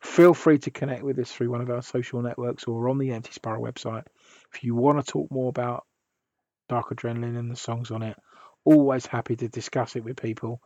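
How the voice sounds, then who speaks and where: A man hosts a casual music podcast, his voice husky and hoarse from a sore throat. He rambles and restarts his sentences. The sound is close, dry and a little dull. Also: medium pitch, steady tone, brisk, warm tone, no room sound. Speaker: podcast host.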